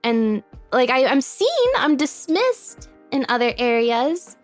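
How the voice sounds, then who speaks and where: A woman's voice: high-pitched, big pitch swings, slow pace, soft background music. A woman guest on a podcast, chatting casually in a bubbly, giggly way.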